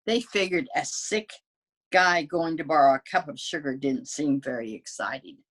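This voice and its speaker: A woman's voice: sits low, moderate pace. A woman reading as a wily male wolf who pleads his innocence in folksy, self-justifying storybook narration.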